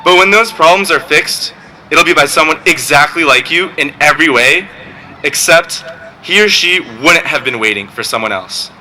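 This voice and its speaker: A teenage boy, valedictorian, giving a graduation speech with joking, deadpan asides. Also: on the high side, normal speed, a little hiss or hum, low-quality recording. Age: teens. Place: outdoor graduation ceremony.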